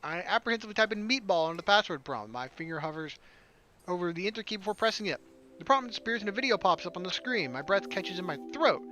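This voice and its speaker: High-pitched, bright-voiced male let's player. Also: animated, fast talker, rough recording. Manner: jokey, sarcastic asides. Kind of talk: let's play commentary with read-aloud narration.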